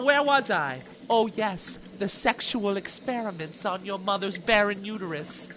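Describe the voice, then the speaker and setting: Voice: mid-range voice, bright, forceful tone, a little hiss or hum. A performer voicing a fiendish, lewd old villainous doctor in a theatrical, gloating, melodramatic way for a campy audio comedy.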